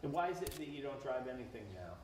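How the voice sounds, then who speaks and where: A man's voice: mid-range voice, sing-song, fast talker. A man working as an attorney, methodically asking repetitive leading questions in a deposition. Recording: roomy, distant, noisy deposition recording.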